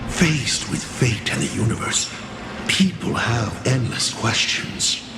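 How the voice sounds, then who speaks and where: A man's voice: mid-range voice, expressive, gravelly, reverberant, very noisy. A man voicing a theatrical, playful villain lord in a video game, teasing and grandiose in his delivery. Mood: amused, musing. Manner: teasing, grandiose, drawn-out phrasing. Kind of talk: video game character acting.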